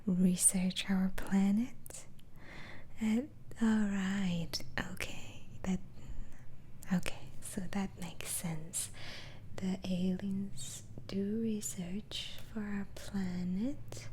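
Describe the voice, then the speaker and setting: A woman whispering softly and breathily in an ASMR roleplay as a gentle, reassuring doctor, asking questions in a hesitant way. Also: medium pitch, fairly even intonation, drawn-out words, slight background noise, rough recording. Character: calm, reassuring asylum doctor questioning a patient.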